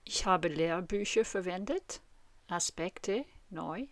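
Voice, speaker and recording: A woman's voice: on the low side, lively intonation, slow. A woman in her fifties from Western Australia, a native English speaker with an Australian accent, talking to camera in the language she is learning, carefully and earnestly, and asking her viewers questions.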